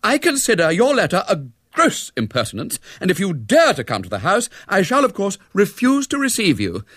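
A haughty young woman from a storybook, acted out by a man. The voice sits high and swings widely, with crisp upper-class phrasing and an easily ruffled, indignant temper. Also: wildly swooping pitch, moderate pace, no room sound, clean studio sound, exaggerated voice.

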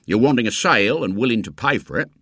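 A man's voice: medium pitch, expressive, quick pace, fairly bright tone, no room sound, clean studio sound. A man acts out a burly storybook boatman with a twinkle behind his bark. He sounds fierce and heavily sarcastic, his voice rising and falling freely. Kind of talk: acted dialogue in storybook reading.

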